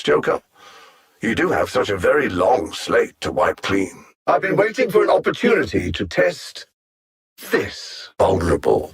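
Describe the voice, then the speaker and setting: A man voicing a cold, arrogant alien machine-mind villain in a video game, speaking in precise, formal phrases with theatrical condescension and a gravelly, husky edge. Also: lowish voice, exaggerated voice. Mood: sneering menace.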